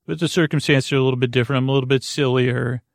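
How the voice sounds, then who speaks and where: Rambling, gravelly-voiced man. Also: mid-range voice, fairly even intonation, racing, no echo, clean studio sound. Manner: meandering, stammering, silly. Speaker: sleep podcast host. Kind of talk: rambling bedtime monologue.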